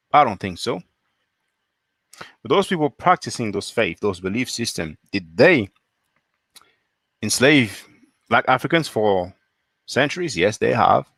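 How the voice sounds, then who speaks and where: A man's voice: medium pitch, fairly bright tone, dry recording, clean studio sound. A man from France hosting a solo live stream, reading viewer comments and asking pointed rhetorical questions.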